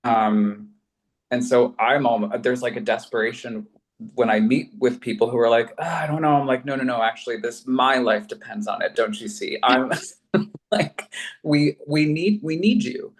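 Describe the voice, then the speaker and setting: A man's voice: mid-range voice, normal speed. A man speaking as a panel guest, giving advice in an earnest, emphatic and pleading way. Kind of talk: casual advice-giving talk.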